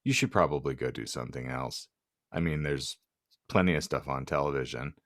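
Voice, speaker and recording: A man's voice: rumbling low, brisk, fairly bright tone, no echo, studio quality. A man hosting a casual comedy podcast, talking in a wry, wordy way with florid vocabulary. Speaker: podcast host.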